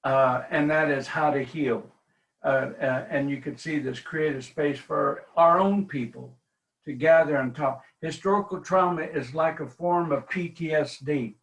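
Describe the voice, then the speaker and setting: A man's voice: on the high side, unhurried, poor audio. A man, a reverend, speaking in a webinar, hesitant with many fillers. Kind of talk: webinar talk.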